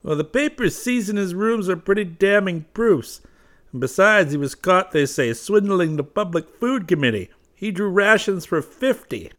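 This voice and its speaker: A man voicing a grave middle-aged male diplomat who talks in urgent, confiding tones, higher and louder than his narration. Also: lively intonation, moderate pace.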